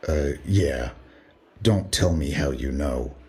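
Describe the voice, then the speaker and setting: A man voicing a calm, direct ship lieutenant in a tabletop game. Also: very deep voice, slow pace. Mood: steady. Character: steady, trusting male ship lieutenant.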